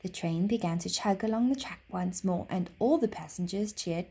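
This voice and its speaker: A woman narrating a children's story expressively, like a teacher reading aloud, with dramatic, deliberate phrasing. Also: fairly low pitch, warm tone, a little hiss or hum.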